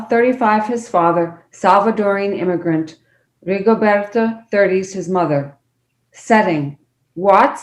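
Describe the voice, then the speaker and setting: A woman giving scripted narration as the reader of a play's characters and stage directions. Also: medium pitch. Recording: echoey, roomy sound.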